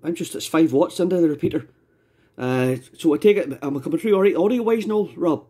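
A man's voice: fairly high pitch, fairly quick, mellow, slight background noise. A Scottish man chatting casually as an amateur radio operator, rambling and repeating his phrases. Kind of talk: casual ham radio chat.

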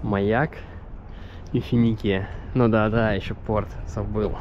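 A man's voice: on the low side, little pitch movement, takes it slowly, muffled recording. Man, 30s, rambling travel vlog, handheld camera, outdoors, noisy background. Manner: chatty, rambling, talks while walking. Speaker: travel vlogger. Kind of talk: casual walking vlog. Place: outdoors by a seaside harbor. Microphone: camera held at arm's length. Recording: outdoor wind and street noise.

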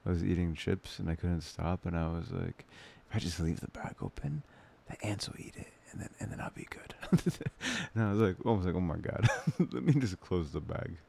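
A young man playing a soft, playful, devoted boyfriend in an intimate ASMR roleplay, breathy, hesitant and often near a whisper. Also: low voice, normal speed, exaggerated voice. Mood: playful, sheepish.

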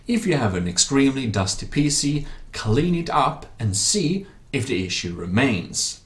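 A man's voice: mid-range voice, big pitch swings, a little slow. A man narrating a tech tutorial as its host, in slightly awkward non-native English phrasing with some off-the-cuff asides.

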